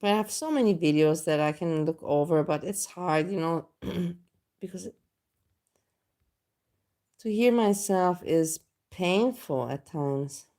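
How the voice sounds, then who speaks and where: A woman's voice: lowish voice, slow, warm tone. A woman chatting casually, hesitating and trailing off as she talks. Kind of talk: casual chat.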